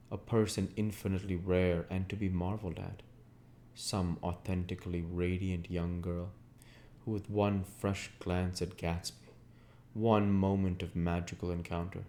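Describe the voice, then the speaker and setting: A man's voice: sits low, darkish tone, slight background noise. A man narrating literary fiction as an audiobook reader, in a scripted style.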